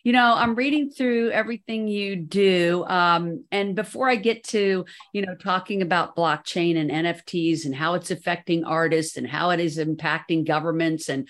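Chatty woman podcast host, low voice. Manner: chatty, upbeat, tells anecdotes. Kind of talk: casual interview chat.

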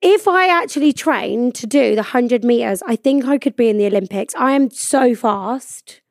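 A woman in her twenties hosting a podcast in a studio, chatting casually and swearing freely, her voice croaky from a cold. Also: on the high side, normal speed, dry recording. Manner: chatty, swears freely, tells stories about herself. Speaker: podcast host. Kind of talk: casual chat.

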